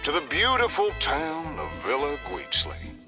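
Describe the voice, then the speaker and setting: A man's voice: on the high side, wildly swooping pitch, unhurried. A man voicing a fretful, courteous town greeter in a game cutscene, sighing and dodging questions. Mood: troubled. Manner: formal, courteous, sighing and evasive. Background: game music.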